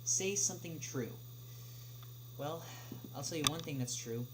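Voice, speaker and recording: A man chatting casually on a livestream as he answers viewer questions, speaking haltingly with long pauses. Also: on the high side. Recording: noisy livestream audio with clear hum.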